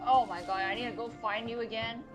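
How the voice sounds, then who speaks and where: A woman's voice: a little high, steady tone, fairly quick. A woman live-streaming a game, commenting casually and muttering informal asides as she plays. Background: game music.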